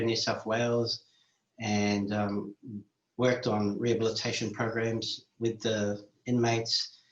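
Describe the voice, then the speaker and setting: New Zealand man, halting and roomy. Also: fairly low pitch, muffled recording. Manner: measured, with frequent restarts and fillers. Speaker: community worker. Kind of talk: interview monologue.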